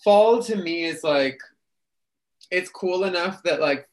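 A man's voice: sits high, slow pace. A young adult man from New City, a guest chatting casually and talkatively in an interview, full of "like" and "um". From New York City. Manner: talkative, chatty with frequent fillers.

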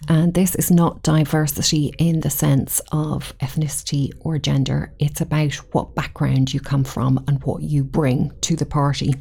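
A middle-aged woman with a Dublin Irish accent answers podcast questions at a measured, considered pace. Her voice sits a little low with a warm, relaxed tone. She pauses now and then to restart a phrase as she thinks. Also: gravelly, breathy edge. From Ireland. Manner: measured, thoughtful, occasional ums and restarts. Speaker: guest, committee chair. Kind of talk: podcast interview.

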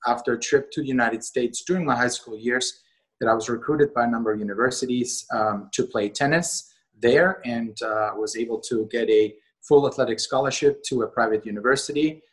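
Husky-voiced man from Austria. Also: mid-range voice, low-quality recording. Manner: measured, many fillers. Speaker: guest, company co-CEO. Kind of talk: interview conversation.

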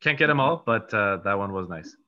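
A man's voice: lowish voice, animated, a little fast. A Polish-born man in his thirties, a real estate agent and podcast host, answering interview questions in a rambling, casual way with many fillers and restarts. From Poland. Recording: dull lo-fi audio.

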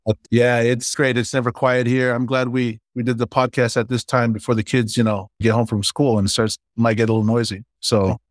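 Man, forties, from Hawaii, casual and friendly, mid pitch, dry recording. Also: level tone, a little fast, hardly any pauses, dry recording. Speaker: startup founder and guest. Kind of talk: casual interview talk.

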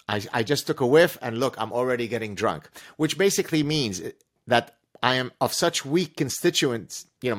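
Emphatic man with Arabic as his first language, a podcast guest who talks with animated sarcasm at an ordinary pitch for a man. He lays on his points with heavy, pointed emphasis. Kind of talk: podcast conversation.

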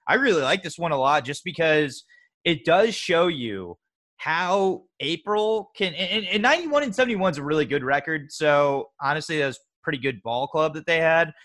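Bright chatty guy cohosting a podcast, kinda high voice, rambles. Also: expressive, moderate pace. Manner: chatty, rambling, many fillers and restarts. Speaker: podcast co-host. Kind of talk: casual sports podcast chat.

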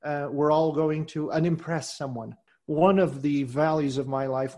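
Middle-aged male guest, mid-range pitch, earnest and measured, trails off quietly. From Portugal. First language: Portuguese. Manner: earnest and measured, voice trailing off softly at phrase ends. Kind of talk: reflective interview talk.

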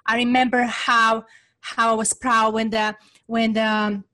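Earnest Serbian-accented woman, higher voice. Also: flat delivery, measured pace, pushed and bright. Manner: earnest, repeats "right?" often. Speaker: guest entrepreneur. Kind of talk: motivational interview talk.

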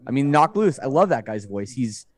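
A man's voice: mid-range voice, big pitch swings, normal speed. A man in his forties, a guest talking thoughtfully in a casual chat, often restarting sentences. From Canada. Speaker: guest musician.

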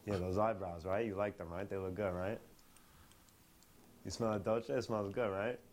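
A man's voice: fairly low pitch, steady tone, normal speed, close to an everyday voice. A man doing a brash Long Island bro. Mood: boastful. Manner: loud slangy bro talk. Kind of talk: comedy sketch clip.

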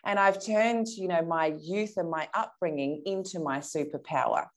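A woman's voice: lowish voice, normal speed. An Australian woman in her early thirties tells her personal story as an interview guest, in a candid, self-assured, conversational way. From Perth, Australia.

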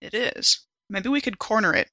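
Bright, animated female player. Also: mid-range voice, normal speed, dry recording, studio quality. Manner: excitable, laughs often. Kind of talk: casual tabletop game talk.

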